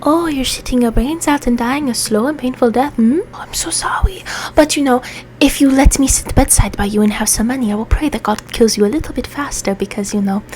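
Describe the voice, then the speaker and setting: A woman's voice: a little high, fairly quick, a little mellow, close to an everyday voice. A woman voicing a blunt, money-grubbing medieval nun with mock sympathy, parodying ASMR roleplay in a noisy recording.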